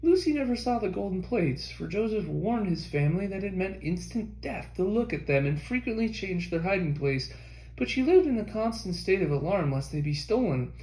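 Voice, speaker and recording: A man reading a history book aloud as an audiobook narrator, in a measured scripted delivery. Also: high-pitched, very animated, darkish tone, reverberant, poor audio. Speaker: audiobook reader.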